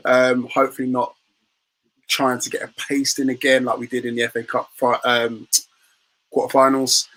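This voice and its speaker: A man with a London accent speaks as a guest in a relaxed chat. His voice is bright and forward, and he hedges with fillers while gently mocking himself. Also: mid-range voice, low-quality recording. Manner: easygoing, self-mocking, hesitant with fillers. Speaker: guest, football fan channel host. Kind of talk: casual chat.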